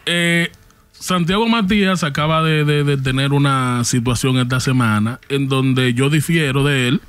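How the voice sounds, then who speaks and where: A man's voice: medium pitch, unhurried, fairly bright tone, smooth. A man, hosting a podcast and steering the conversation from a studio. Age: 40s. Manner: agreeing, steering the talk. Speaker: podcast host. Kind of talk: casual chat.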